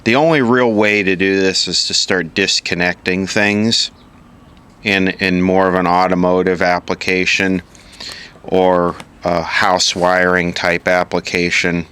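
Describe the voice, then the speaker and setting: A man's voice: deep voice, little pitch movement, unhurried, pushed and bright, clear, resonant tone. A man giving an informal hands-on repair tutorial, matter-of-fact and thinking aloud piece by piece as an instructor.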